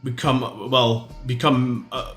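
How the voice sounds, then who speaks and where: A man's voice: mid-range voice, flat delivery, takes it slowly, fairly bright tone, faint music behind. A man co-hosting a football podcast in casual chat, rambling with many restarts and fillers. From raised outside Turkey. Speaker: podcast co-host. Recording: roomy echo.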